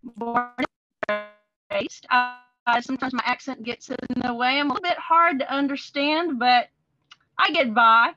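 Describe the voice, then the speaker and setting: A woman's voice: high-pitched, moderate pace, sharp tone, lo-fi sound, soft background music. A woman with a Texan accent, a guest author chatting in an interview and laughing often. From Texas, USA. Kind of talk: casual interview chat.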